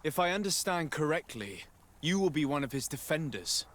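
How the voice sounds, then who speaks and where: An actor playing a composed, formal noble envoy who asks polite, pointed questions in a fantasy game. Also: male voice, fairly high pitch, big pitch swings, moderate pace, a bit bright. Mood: probing. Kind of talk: scripted fantasy game acting.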